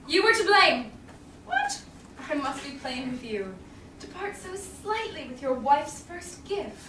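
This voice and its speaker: A woman acts a proud young noble wife on stage, scolding with sharp wit in Shakespearean verse. The voice is very high and bright, indignant and declamatory, heard from far off in a roomy theatre. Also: sing-song, unhurried, exaggerated voice. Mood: reproachful. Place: small indoor theatre stage. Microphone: far audience camera. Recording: roomy, noisy distant audience camera.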